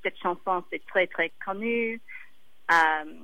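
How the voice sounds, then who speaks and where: A woman speaking slowly and haltingly in her second language, her first tongue being English. She pauses often to search for words, and the sound is dull and lo-fi, as if over a phone line. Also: fairly low pitch, no room sound. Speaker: singer.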